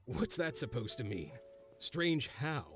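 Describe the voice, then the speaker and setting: An actor playing a gruff, wary working man in a video game, husky and puzzled. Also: medium pitch, animated, slow, a bit bright, heavily acted. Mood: suspicious, puzzled.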